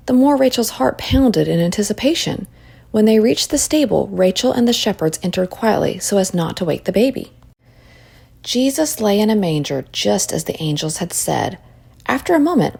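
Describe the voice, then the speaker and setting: A woman reading a children's story aloud in expressive narration. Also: mid-range voice, moderate pace, vocal fry, slightly rough.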